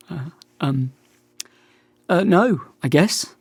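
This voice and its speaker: A man's voice: a little high, expressive, drawn-out words, creaky, slightly rough, no room sound, heavily acted. An actor playing an awkward, wounded bisexual man of about forty in a radio drama, talkative, hesitant and self-deprecating. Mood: awkward, flustered. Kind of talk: naturalistic radio drama acting.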